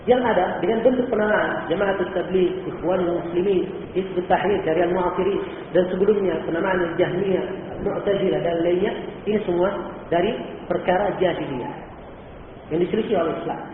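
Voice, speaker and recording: A male Islamic teacher from Indonesia giving a religious lecture, explaining patiently, repeating key points and asking rhetorical questions. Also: high voice. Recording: old echoey hall recording from far off, phone-like and faintly noisy.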